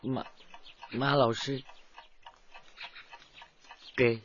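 Slow, halting, earnest teenage boy. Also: medium pitch, little pitch movement, fairly bright tone, a little hiss or hum. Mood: timid. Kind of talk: radio drama acting. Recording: muffled radio drama with music behind.

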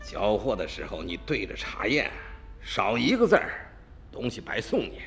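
A cunning tomb-raider chief, voiced by a man, bargaining with easy confidence in a radio play. His speech rises and falls with lively swings, and soft music plays underneath. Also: on the low side, moderate pace, vocal fry, full character voice. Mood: scheming. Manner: sly, haggling, confident. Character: scheming male tomb-raider gang boss, middle-aged. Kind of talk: radio drama acting.

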